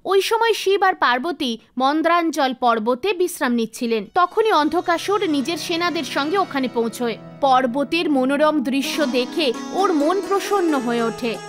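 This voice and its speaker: Female narrator for animated mythology tales; high-pitched, scripted storytelling delivery with clear background music. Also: normal speed.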